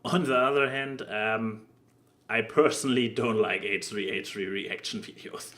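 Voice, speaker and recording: Halting man in casual podcast talk. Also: lowish voice, bright, forceful tone. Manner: hesitant, halting, stammers and repeats words. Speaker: podcast host.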